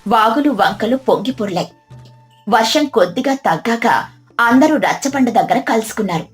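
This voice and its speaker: Female storyteller for scripted moral tales, higher-pitched, light music bed. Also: animated, moderate pace. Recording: music behind the voice.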